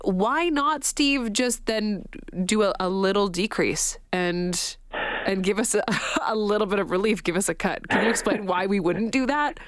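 A woman's voice: mid-range voice, normal speed, no echo, studio quality. A woman hosting a radio news interview, putting probing questions in an informal, conversational way.

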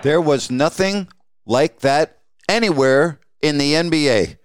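A man's voice: fairly high pitch, unhurried, heavy background noise. A man hosting a podcast, talking reflectively on his own in the style of a sports announcer reminiscing. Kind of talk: reflective solo podcast talk.

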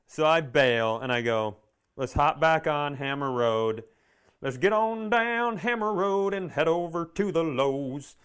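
Clear-voiced man telling sarcastic comic stories. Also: fairly high pitch, expressive, unhurried, a bit bright. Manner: sarcastic, swearing, acts out dialogue. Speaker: comedy podcast co-host. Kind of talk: comedic storytelling in casual chat.

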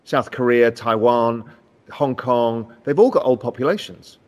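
A man's voice: mid-range voice, measured pace. A man talks through economic trends with easy confidence. He leans hard on his key points and keeps it conversational. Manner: confident, explanatory, animated emphasis. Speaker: macro analyst in a finance interview. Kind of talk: conversational market discussion.

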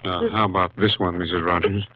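Actor as a low-voiced, subdued police captain. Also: male voice, flat delivery, a little fast, a little hiss or hum, lightly acted. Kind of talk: 1950s radio drama acting. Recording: dull, dry old radio recording.

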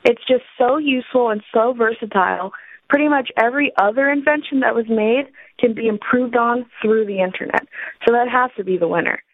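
A woman's voice: fairly high pitch, a little fast, no room sound. A woman with an American accent leaving a short comment as a caller. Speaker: listener calling in. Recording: thin, tinny phone line.

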